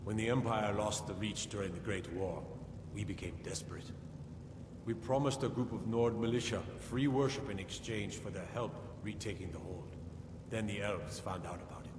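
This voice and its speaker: Man playing a stern low-voiced fantasy lord. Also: normal speed, natural voice. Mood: stern, grave. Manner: authoritative, curt, formal. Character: proud, stern, impatient fantasy lord of a city. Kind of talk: fantasy video game dialogue. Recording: echoing hall, distant, noisy game capture.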